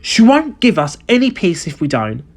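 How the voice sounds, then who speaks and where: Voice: medium pitch, huge pitch swings, normal speed. A narrator voicing an earnest, questioning young boy in a storybook. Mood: concerned.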